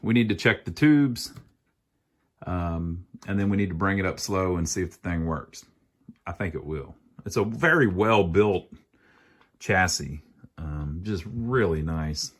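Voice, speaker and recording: An American man giving a casual hands-on repair walkthrough, thinking aloud in a folksy, plain-spoken way. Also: deep voice. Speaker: amateur radio repairer, video host.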